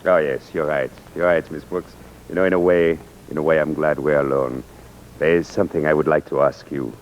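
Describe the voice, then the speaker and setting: A man's voice: a bass voice, steady tone, a little fast. An actor playing a courteous, earnest, easily flustered Frenchman with a French accent in old-time radio comedy, speaking politely and hesitantly. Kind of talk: 1940s-50s radio comedy acting. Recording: dull, noisy old radio recording.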